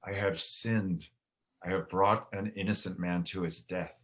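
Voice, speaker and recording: A reader voicing accusing witnesses and bystanders in a church scripture drama, speaking deliberately. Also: low-pitched, steady tone, a bit bright. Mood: remorseful.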